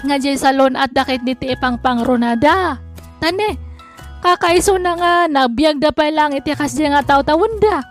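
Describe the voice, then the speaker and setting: A woman's voice: high voice, normal speed, faint music behind. A woman with an Ilocano accent hosting a drama program, giving advice in response to a listener's letter. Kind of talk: reading and commenting on listener letters.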